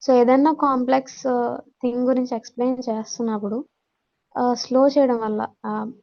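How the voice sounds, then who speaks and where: High, even-toned Telugu woman teaching. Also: quick pace. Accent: Telugu Indian. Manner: switches between Telugu and English terms. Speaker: teacher giving a communication skills lesson. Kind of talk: explanatory lecture, reading out points then explaining. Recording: dull lo-fi audio.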